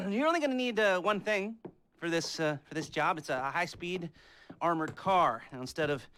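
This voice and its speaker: An American actor playing a sardonic, nerdy middle-aged heist planner in a video game, talking in a wry, halting way. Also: male voice, sits high, clean tone. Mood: rambling, smug. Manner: wry, halting, rambling, chuckling. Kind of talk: video game cutscene dialogue. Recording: loud car and street noise behind.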